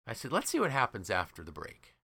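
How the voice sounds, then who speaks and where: A man with a fairly low, bright and punchy voice hosts a leadership podcast in a dry, close sound. He tells stories conversationally, with enthusiasm and emphasis, slipping into quoted dialogue as he goes. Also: wildly swooping pitch, fast, breathy edge, no room sound. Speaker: podcast host and leadership trainer.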